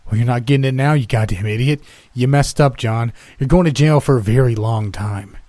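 A man's voice: on the low side, fairly even intonation, normal speed, husky, no room sound. A man voicing a vile, sneering old diner owner who mocks and belittles. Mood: angry. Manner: mocking, belittling, loud.

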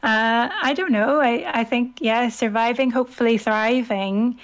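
A woman's voice: on the high side, a little slow. A woman in her thirties with a Northern Irish accent and a youthful, girlish voice, chatting casually as an interview guest in a hesitant, self-deprecating way. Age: 30s. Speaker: interview guest, author and podcaster. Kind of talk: casual chat.

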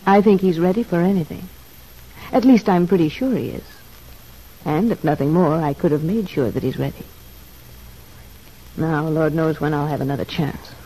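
A woman playing an anxious, lovesick prisoner in an old-time radio soap, with a husky, mellow voice. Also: low voice, normal speed, long pauses, poor audio. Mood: uneasy.

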